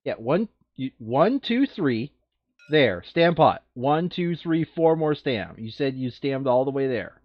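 Man, board game player, lively intonation, casual chat, muffled dry recording. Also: mid-range voice, slow pace, dry recording. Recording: dull, lo-fi voice chat microphone.

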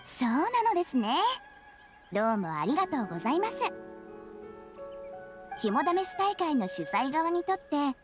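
Young shrine maiden, high voice, expressive intonation, courteous, eerie, teasing, anime game. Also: female voice, normal speed, pauses often, over music. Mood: politely unsettling. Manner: polite, formal, teasingly ominous.

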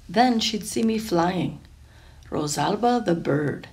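A woman reading a children's picture book aloud in an expressive, deliberate storytelling way, lingering over phrases. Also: on the low side, vocal fry. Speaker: storybook reader.

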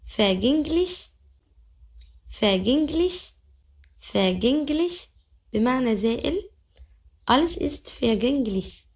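A young woman with an Egyptian Arabic accent teaching vocabulary. She says each word slowly several times, then explains it quickly in Arabic. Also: sits high, animated. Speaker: language teacher. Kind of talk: vocabulary lesson.

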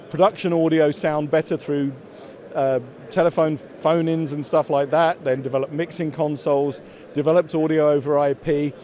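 A man's voice: on the high side, mellow. A man in his fifties explaining products as a company executive in a trade show interview, often restarting and repeating words. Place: busy exhibition hall. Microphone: handheld interview microphone held by interviewer.

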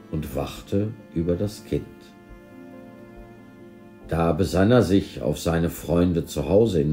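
A man reading a scripted storybook narration in a measured, expressive way. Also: low-pitched. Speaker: volunteer reader of an audio story.

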